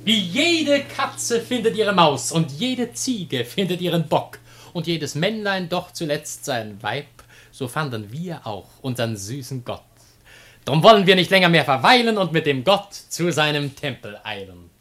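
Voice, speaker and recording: An actor playing a cheeky, sardonic slave in a classical comedy for radio, declaiming with dry mockery. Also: male voice, a little high, sing-song, unhurried, fairly bright tone. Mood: jubilant. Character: cheeky, sardonic slave lording it over a god. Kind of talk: theatrical radio play acting of classical comedy.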